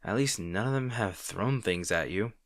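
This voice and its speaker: A man acts out a male supervillain rival in an audio roleplay, speaking straight to the listener. His voice sits low and is recorded very dry, with no room around it. He is sardonic and teasing, with a hidden caring streak that makes him halting when flustered. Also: animated, dry recording. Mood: softer, concerned. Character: snarky male supervillain rival, secretly worried about his hero. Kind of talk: dramatic roleplay monologue addressed to the listener.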